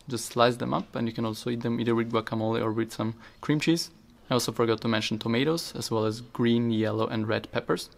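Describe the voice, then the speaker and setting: A young man giving calm, matter-of-fact advice as a vlogger. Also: medium pitch, gravelly. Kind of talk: scripted voice-over tips. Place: outdoors by apartment blocks in winter.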